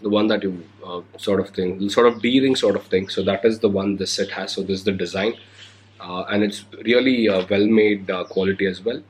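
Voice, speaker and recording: A man's voice: deep voice, steady tone, moderate pace, slight background noise. A young man with an Indian English accent, recovering from a cold, talking casually through an unboxing vlog with lots of hesitant fillers. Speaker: motovlogger. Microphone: handheld recorder or camera mic.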